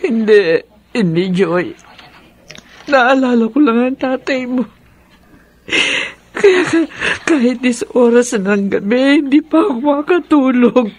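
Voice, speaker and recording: A woman's voice: high-pitched, expressive, takes it slowly, darkish tone, exaggerated voice. A woman playing a sickly, grieving mother in a radio drama, sorrowful and weary but stubborn.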